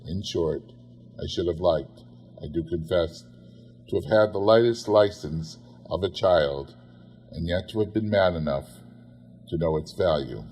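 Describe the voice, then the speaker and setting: A man narrating a literary story expressively, in a measured, theatrical manner. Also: sits low, a little mellow, breathy edge. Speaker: audiobook narrator.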